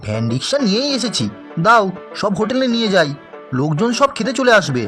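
An animated, eager helper lad. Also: female voice, medium pitch, brisk. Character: eager, helpful young village helper boy in a cartoon. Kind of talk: animated cartoon dialogue. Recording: music behind the voice.

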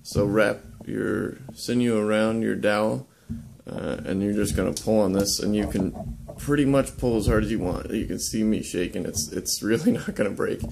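A young man casually demonstrating how to lash boat joints, talking in a relaxed, halting way with many ums and uhs. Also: on the low side, creaky, background noise. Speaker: woodworking instructor. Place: indoor workshop.

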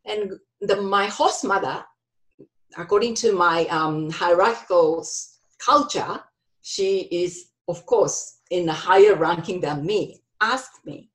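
A woman's voice: on the low side, slow, low-quality recording. A middle-aged woman from Japan with a Japanese accent, presenting a workshop over a video call and phrasing things carefully, with frequent ums and restarts. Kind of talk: online workshop talk with slides.

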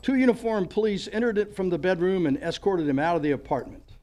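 A man's voice: high voice, animated, fast, a little mellow. An older man reading scripted narration as the announcer of an old-time detective radio play on stage. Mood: storytelling. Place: on a stage, live performance. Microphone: microphone on a stand.

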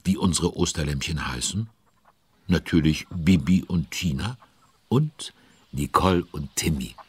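A man narrating a children's audio drama as a genial storyteller, expressive and chatty with young listeners. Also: extremely low pitch, measured pace, husky, heavily acted. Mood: cheerful.

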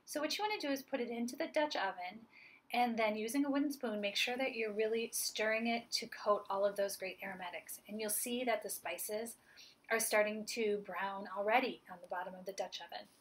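A woman's voice: medium pitch, a little fast. A woman in her forties, a chef, giving a friendly, upbeat cooking demonstration and explaining each step plainly. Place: home kitchen, indoors.

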